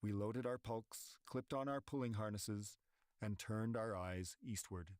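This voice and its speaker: A man reading measured, steady scripted narration for an expedition documentary. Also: lowish voice, no echo, studio quality. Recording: voice-over with background music.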